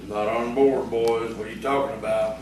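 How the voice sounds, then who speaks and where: A man acting in audio theatre as a jealous, hot-tempered country bully and scheming ringleader, gruff and blustering. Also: lowish voice, fairly even intonation, a little fast, close to an everyday voice. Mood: brooding, suspicious. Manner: gruff, blustering, rustic phrasing. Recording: echoey, distant, noisy stage recording.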